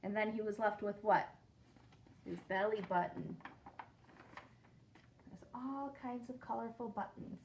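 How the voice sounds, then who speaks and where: A woman's voice: medium pitch, measured pace, creaky, long pauses, a little hiss or hum. A young woman teacher reading a picture book aloud to children, counting along and asking them questions.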